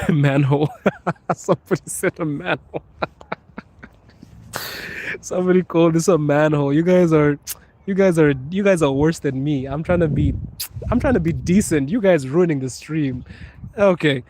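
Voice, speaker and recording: A man from Zimbabwe chats casually with his live viewers while walking outside in the cold, reading their comments aloud. His voice sits a little high, and he keeps laughing and joking as he goes, recorded on a handheld phone. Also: a little slow, long pauses, a little mellow, dry recording. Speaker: livestreamer. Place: outdoors in a cold city street.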